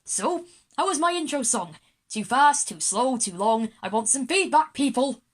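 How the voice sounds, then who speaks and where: A woman's voice: high-pitched, animated, moderate pace. Woman playing a pompous, boastful pirate general. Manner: pompous, theatrical, piratical turns of phrase. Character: egotistical boastful male pirate general, bossy showman. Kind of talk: kids' audio drama acting.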